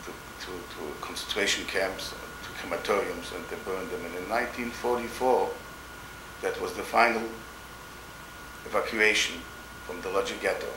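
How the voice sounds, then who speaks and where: Deep-voiced older man, halting testimony. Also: steady tone. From Poland. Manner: halting, slow, repeats words and restarts. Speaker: interviewee, Holocaust survivor. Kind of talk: oral history testimony. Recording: echoey room with background noise.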